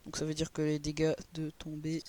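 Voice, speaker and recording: A man's voice: a little high, monotone, a little fast, dark tone. A young man with a southern French accent, bantering and teasing his friend while gaming. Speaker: gamer. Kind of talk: casual gaming chat.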